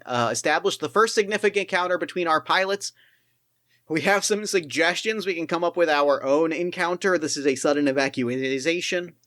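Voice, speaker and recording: A man's voice: on the high side, a bit bright, no room sound, studio quality. A man running a tabletop actual play as game master, musing about his character in a rambling, thoughtful way with many restarts.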